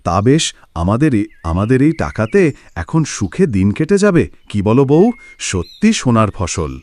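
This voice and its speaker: A man's voice: on the low side, sing-song, a little slow, a little mellow. A man voicing a simple, agreeable village farmer in a cartoon moral story, naive and hesitant. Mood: cheerful.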